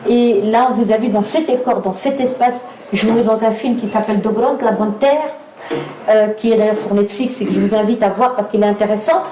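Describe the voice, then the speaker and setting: A woman's voice: medium pitch, brisk, dark tone, reverberant, a little hiss or hum, poor audio. A woman hosting a live on-stage interview, asking questions with some restarts. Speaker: host, interviewer.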